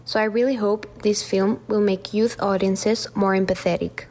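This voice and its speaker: A woman's voice: medium pitch, fairly even intonation, moderate pace, a little mellow. Earnest young woman. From Mexico. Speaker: student guest. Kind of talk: reading a prepared film response.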